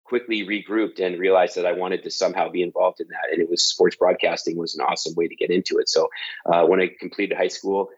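A Canadian man talking in a relaxed interview, telling stories with lots of ums and uhs. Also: deep voice, quick pace, breathy edge, highs cut off. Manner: relaxed storytelling with frequent fillers. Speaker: guest, TV sports director. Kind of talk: casual interview talk. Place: at home.

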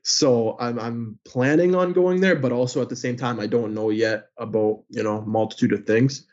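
A young man born in Greece chats easily as an interview guest, filling his answers with ums and likes. His voice sits at an ordinary middle pitch. The audio comes through a little dull and muffled. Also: fairly even intonation, normal speed. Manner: chatty, many filler words. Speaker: guest, hockey player and sports news account runner.

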